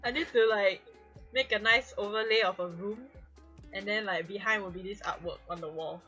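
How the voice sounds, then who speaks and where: A woman hosting a live fanfic stream, chatting casually in a giggly, excitable, dramatic way. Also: medium pitch, normal speed, soft background music, poor audio. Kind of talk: casual livestream banter.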